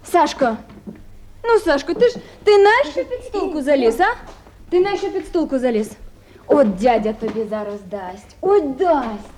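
A woman's voice: sits high, expressive, measured pace, a little mellow, slight background noise. An actress playing a poor, anxious village mother with a Ukrainian accent, rambling and plaintive in a naturalistic radio drama. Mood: flustered, scolding.

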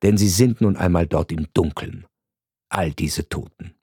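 Low-pitched guy narrating a radio piece, serious and measured, dry close sound. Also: warm tone, slightly rough, no echo. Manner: grave, measured storytelling.

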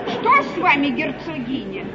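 High-voiced gracious queen. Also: sing-song, moderate pace, a loud crowd, very noisy. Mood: regal. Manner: regal, politely formal, theatrical. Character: gracious, indecisive queen holding court. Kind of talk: mid-century classical stage comedy acting. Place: theatre stage, recorded live from the hall. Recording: muffled echoey 1950s theatre broadcast, music.

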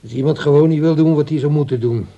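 An actor playing a pedantic, absent-minded husband in a radio play, grumbling and slow to understand. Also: male voice, fairly high pitch, warm tone, highs cut off, close to an everyday voice.

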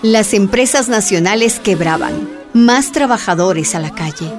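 Casting: female narrator, medium pitch, measured scripted delivery for radio docudrama, music bed. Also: expressive.

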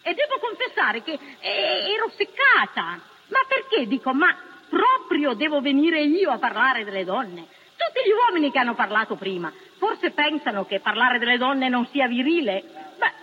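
High, animated older woman, dull recording. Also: normal speed. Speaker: former partisan speaking at a meeting. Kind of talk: impromptu public speech. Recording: dull, slightly noisy archive recording.

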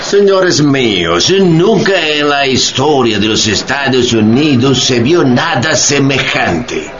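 An actor playing a pompous, mocking judge in a satirical radio drama, with a declamatory delivery. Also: male voice, medium pitch, very animated, slow pace, dull audio, crowd noise. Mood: pompous indignation. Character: pompous, mocking American judge condemning a foreigner.

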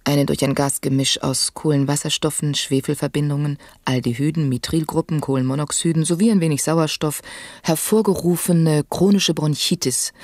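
A self-important female medical expert in a radio satire. Her voice has a gravelly fry and is recorded very dry. She lectures in a pedantic, imperious, clinical manner. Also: deep voice, darkish tone, dry recording. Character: domineering woman doctor, self-important motorbike-riding expert. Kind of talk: satirical radio play acting.

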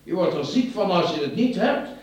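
A man's voice: high voice, expressive, normal speed, a little mellow. A man playing a shifty, greedy doctor in a radio play, placating and pleading, hesitant and repeating himself. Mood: coaxing, evasive. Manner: hesitant, repetitive, exclaiming. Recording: echoey, distant, faintly hissy radio play.

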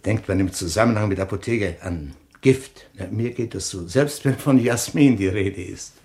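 An actor playing a wry, deceptively polite detective in a 1960s radio crime play, probing quietly in a husky, hesitant, insinuating way. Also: male voice, fairly low pitch, moderate pace.

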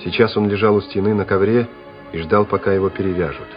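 A man's voice: lowish voice, fast. A man reading scripted dramatic narration for a war radio drama. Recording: dull vintage radio recording with music.

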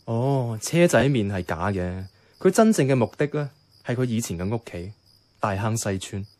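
A man's voice: mid-range voice, expressive, normal speed, darkish tone, close to an everyday voice. An actor playing a bemused, reluctant young man who narrates and talks in a radio drama, wry and questioning, with a Hong Kong Cantonese accent. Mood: wry narrating. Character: bemused, reluctant young male companion narrating a night walk.

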